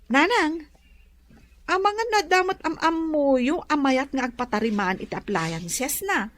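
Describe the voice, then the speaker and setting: Woman acting a high-voiced, plaintive Ilocano student daughter. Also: lively intonation, a little slow, a bit bright. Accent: Filipino, Ilocano. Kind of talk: Ilocano comedy radio drama acting.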